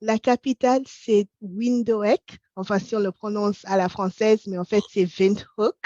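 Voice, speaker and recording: A woman's voice: medium pitch, fairly even intonation, unhurried, warm tone, no echo. A woman from Namibia whose first language is English, a pregnant guest speaker talking in a friendly way, often with donc and voilà. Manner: friendly, light laughs, says donc and voilà. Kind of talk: interview conversation. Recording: dull lo-fi online call.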